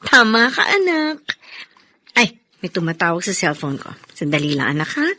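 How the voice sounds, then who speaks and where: A woman playing a gentle, caring young mother in a Filipino radio drama. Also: fairly low pitch, sing-song, normal speed, dry recording, exaggerated voice. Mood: warm.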